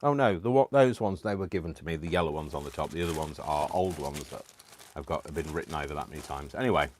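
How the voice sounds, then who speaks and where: British male host, deep voice, rambling, self-mocking live chat over crafts. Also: fast talker, darkish tone, slight background noise. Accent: British English. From United Kingdom. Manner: rambling and self-deprecating, many ums and laughs. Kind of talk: casual chat to live viewers while crafting.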